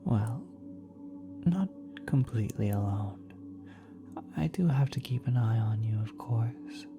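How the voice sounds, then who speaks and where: A woman voicing a kind, nurturing celestial being in a soft, breathy, often whispered ASMR roleplay, gently reassuring the listener. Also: extremely low pitch, lively intonation, slow pace, full character voice. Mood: soothing, reassuring. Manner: gentle, reassuring, hesitant, coaxing. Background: spacey ambient music.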